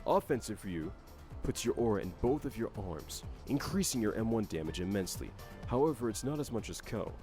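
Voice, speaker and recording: Low-voiced man narrating a game explainer. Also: sing-song, a little fast, a little mellow, soft background music.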